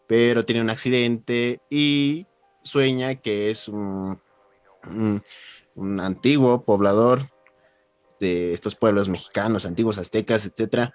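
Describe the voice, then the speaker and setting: Podcast host over music. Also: male voice, fairly low pitch, steady tone, slow pace, no room sound. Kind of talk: conversational literary interview. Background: background music.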